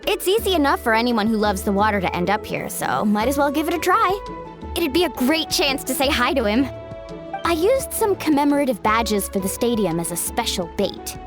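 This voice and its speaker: A woman's voice: a little high, big pitch swings, brisk. A woman voicing an earnest, polite young girl who speaks hopefully in a game cutscene. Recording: game score music underneath.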